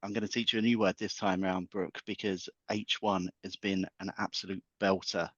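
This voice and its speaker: Male guest, lowish voice, joking football banter, dull dry line. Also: flat delivery, normal speed, no echo. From England. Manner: playful, heavy on football metaphors. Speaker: guest market analyst. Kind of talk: podcast chat.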